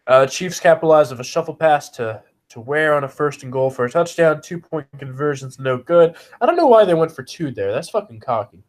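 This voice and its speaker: A man's voice: on the high side, lively intonation, fairly quick, hardly any pauses. Man with a cold reciting football stats. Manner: profane, blunt, recites stats. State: has a cold, sniffling. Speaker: podcast co-host. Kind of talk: casual sports chat.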